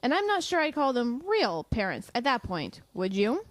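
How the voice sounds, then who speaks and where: An actress as a female professor in an audio drama, with a fairly high, lively-pitched voice. She is composed and protective, speaking with measured, dry, pointed politeness. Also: no echo. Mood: pointed, firm.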